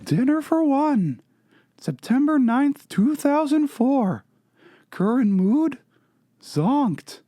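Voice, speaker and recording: A man's voice: very high-pitched, big pitch swings, slow, warm tone, no room sound, studio quality, soft background music, heavily acted. A man playing a lonely, mopey cartoon blogger in a dramatic reading, droll and self-pitying. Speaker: performer in a podcast dramatic reading. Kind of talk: dramatic reading of a comic blog.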